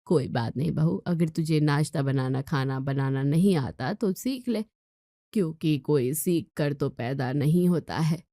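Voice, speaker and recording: A woman's voice: sits low, measured pace, mellow, no room sound, clean studio sound, voice pushed down. A woman voicing a weary, firm middle-aged mother-in-law who scolds in a family storybook tale. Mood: patient.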